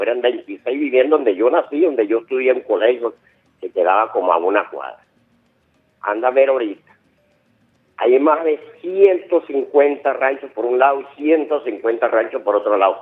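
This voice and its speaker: A middle-aged Venezuelan man calling a radio show, talking in an insistent, rambling way and repeating himself. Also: mid-range voice. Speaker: caller. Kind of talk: phone-in radio call. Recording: phone line with faint music.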